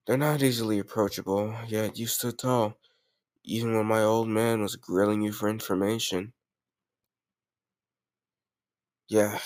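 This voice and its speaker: A man's voice: on the low side, no echo, clean studio sound, lightly acted. A man playing a confident, doting mafia boss boyfriend in an intimate roleplay audio, speaking affectionately and directly to a lover. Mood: fond, proud. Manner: affectionate, self-assured, addressing a lover directly. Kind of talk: intimate roleplay audio, scripted romantic monologue.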